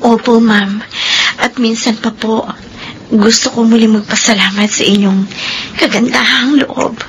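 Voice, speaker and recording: Meek young Filipino mother, high-pitched and grateful, radio serial with faint noise. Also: fairly even intonation, unhurried, poor audio. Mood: humble, grateful. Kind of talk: Filipino radio drama acting.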